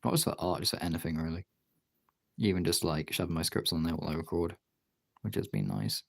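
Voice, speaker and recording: A man's voice: low voice, very animated, fast, gravelly. A man talking in an informal, rambling way, thinking aloud as he gives a casual art demonstration as a streamer. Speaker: streamer, artist. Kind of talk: casual chat while demonstrating drawing.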